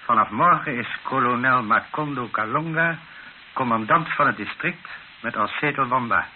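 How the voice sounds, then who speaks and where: A man's voice: medium pitch, normal speed, natural voice. Een man die in een hoorspel een hoffelijke, berustende vader van middelbare leeftijd speelt. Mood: tense, grave. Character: resigned, courteous colonial father, Monsieur Moreau. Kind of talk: radio play acting. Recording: muffled, hissy old radio recording.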